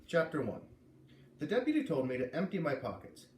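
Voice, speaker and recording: A man doing an expressive storybook read-aloud, pausing to explain and comment as he goes. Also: on the high side. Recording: echoey room with clear background noise.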